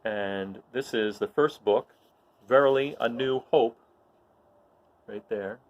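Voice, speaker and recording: A man casually introducing readings and setting scenes in a halting, conversational way. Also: mid-range voice, lo-fi sound. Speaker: host, storyteller.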